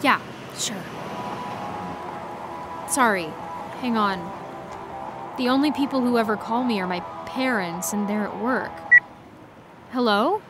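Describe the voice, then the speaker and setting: A woman playing an awkward, evasive high school senior, hesitant and apologetic, in a fiction podcast. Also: takes it slowly, long pauses, over loud music. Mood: confused, surprised. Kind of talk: scripted audio drama dialogue.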